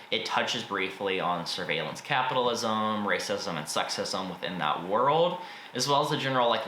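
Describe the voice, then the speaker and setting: A man's voice: fairly low pitch, bright, forceful tone. A man giving a casual, informal spoken book review, blunt with his opinions but often hedging them. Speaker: book reviewer and video host. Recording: echoey room with faint background noise.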